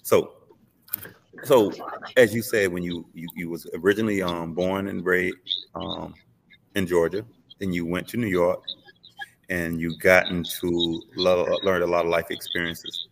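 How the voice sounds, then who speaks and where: A man's voice: low-pitched, level tone, measured pace, gravelly, pauses often, a little hiss or hum. A man hosting an interview, asking questions hesitantly with many fillers. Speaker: interview host. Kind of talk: interview conversation. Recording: long hall reverb.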